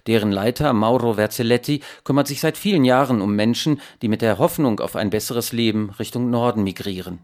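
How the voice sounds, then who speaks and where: Male reporter, medium pitch, scripted news narration, measured and emphatic delivery. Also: darkish tone. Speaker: radio reporter.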